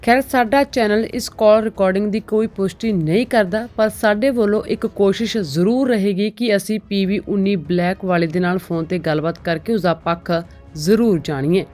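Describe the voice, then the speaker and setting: A woman news presenter reads a scripted report. Her pitch sits in the middle for a woman, and faint music plays behind her. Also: normal speed, a little mellow.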